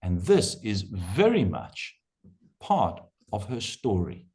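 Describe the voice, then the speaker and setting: Lively-voiced man leading a workshop. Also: deep voice, slow pace. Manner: animated, emphatic lecturing with repeated phrases. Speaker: workshop host and coach. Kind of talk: online workshop presentation.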